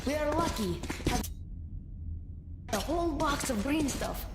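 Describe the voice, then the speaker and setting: A woman's voice: high voice, expressive, a little slow, very noisy. A woman voicing an earnest young male private in a video game, answering briefly and plainly. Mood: earnest, wistful. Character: young male private, earnest child soldier left waiting. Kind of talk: video game cutscene acting.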